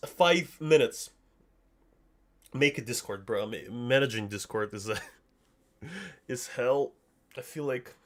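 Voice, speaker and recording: A young man from Russia with a slight Russian accent, chatting casually on a livestream in a joking, slangy, self-deprecating way. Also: medium pitch. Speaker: anime commentary streamer. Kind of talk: casual livestream chat, answering viewers.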